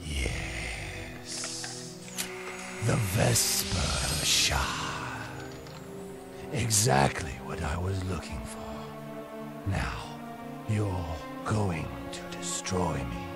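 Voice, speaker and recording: An actor playing a cruel, imperious ancient vampire overlord in a game cutscene, drawling with condescending, theatrical menace. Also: male voice, low-pitched, sing-song, extremely slow, creaky, pauses often, full character voice. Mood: sinister, mocking. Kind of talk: video game cutscene acting. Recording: loud music under the voice.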